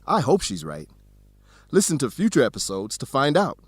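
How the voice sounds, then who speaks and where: Male narrator, very lively intonation, medium pitch, scripted educational radio drama, dry studio sound. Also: moderate pace, breathy edge, dry recording. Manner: simple sentences with rhetorical questions.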